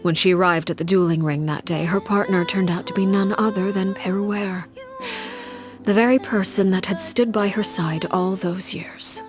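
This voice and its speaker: An actress playing a grave, composed woman who recounts a tragic tale in measured, hushed phrases. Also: medium pitch, steady tone, natural voice. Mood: solemn, tragic. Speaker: storytelling game character. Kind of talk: scripted dramatic narration. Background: game music.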